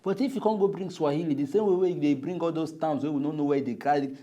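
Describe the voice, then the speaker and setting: A man with a Nigerian accent co-hosting a TV talk show in Pidgin, bantering and protesting in an animated way. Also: a little high, fast talker. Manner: animated, swings from hushed mock calm to loud protest. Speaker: co-host.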